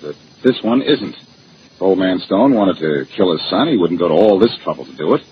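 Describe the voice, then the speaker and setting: An actor playing a cocky, wisecracking amateur detective in a 1940s radio drama, glib and sarcastic. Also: male voice, sits low, lively intonation, lo-fi sound. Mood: cocky, teasing. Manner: glib, sarcastic, quick with quips. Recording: noisy, band-limited old radio recording.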